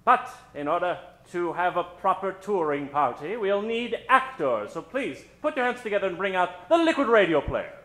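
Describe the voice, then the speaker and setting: A man hosting a live old-time radio show, introducing characters from the stage in a dramatic, drawn-out storytelling style. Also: high voice, very animated, a bit bright. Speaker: host and announcer. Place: stage before a live studio audience. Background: musical accompaniment.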